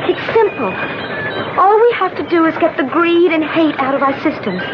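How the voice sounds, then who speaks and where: High, animated, desperate schemer, urgent and emotional, for a vintage radio play. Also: female voice, normal speed. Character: desperate, scheming, spirited woman pleading for escape. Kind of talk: 1970s radio drama acting. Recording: dull, noisy 1970s radio recording.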